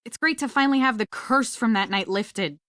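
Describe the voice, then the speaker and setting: A woman playing a theatrical, welcoming coven witch in a video game, with a flattering manner. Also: on the high side, fairly quick, no echo, clean studio sound. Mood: grateful.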